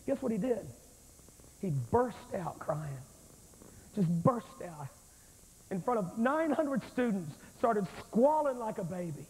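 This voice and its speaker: A man in his forties preaching a revival sermon on a large church stage with a handheld microphone, telling a story in an animated, confrontational way. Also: sits high, takes it slowly, long pauses. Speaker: evangelist preacher. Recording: long hall reverb, old VHS tape.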